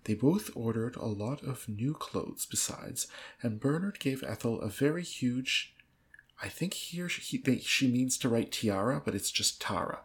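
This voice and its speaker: A woman reads a storybook aloud with a husky, gravelly voice. She is a podcast host with an amused, theatrical delivery, slipping between narration and chatty asides. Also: rumbling low, lively intonation, a little slow.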